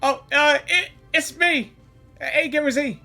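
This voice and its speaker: A woman giving casual, excitable gaming reaction commentary, slangy, repeating words for emphasis. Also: sits high, unhurried, vocal fry. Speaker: gaming reaction video host. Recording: game music faintly behind.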